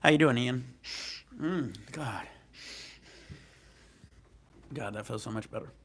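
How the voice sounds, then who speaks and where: A man's voice: mid-range voice, takes it slowly, slight background noise. A man with a stuffy, congested nose, livestreaming outdoors on a cruise ship at night through a handheld mic, chatting in a laid-back, profane, joking way. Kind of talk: casual live stream chat. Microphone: handheld microphone.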